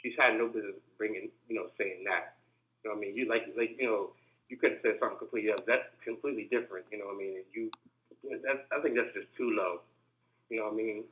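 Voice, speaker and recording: A man calls into a talk show from Delaware over a thin, phone-like line. He talks in a quick rush, repeating words and asking "you know what I mean?" again and again. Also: fairly low pitch. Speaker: caller. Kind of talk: phone-in comment.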